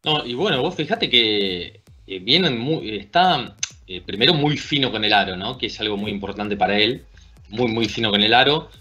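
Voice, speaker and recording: A man's voice: lowish voice, animated, moderate pace, pushed and bright, soft background music. Thoughtful Argentine man talking basketball. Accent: Argentine Spanish. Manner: thoughtful, tag questions. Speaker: basketball analyst. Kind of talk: casual sports discussion. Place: small room at home. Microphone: video call.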